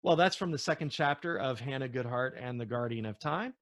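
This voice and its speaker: A man who is an author reading his novel aloud as storybook narration, in an expressive storytelling manner with occasional stumbles. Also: mid-range voice, brisk, no echo, clean studio sound.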